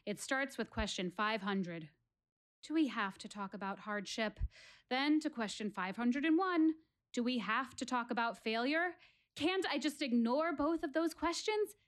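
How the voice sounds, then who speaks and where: An actress playing a candid, wry, exasperated woman presenting life's questions in a theatrical one-woman audio play, addressing her audience emphatically with dramatic pauses. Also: a little high, expressive. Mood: dreading, reluctant.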